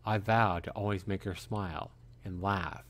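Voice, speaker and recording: A man reading a visual novel aloud as narrator, with earnest, measured, dramatic phrasing. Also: deep voice, fairly even intonation, fairly bright tone.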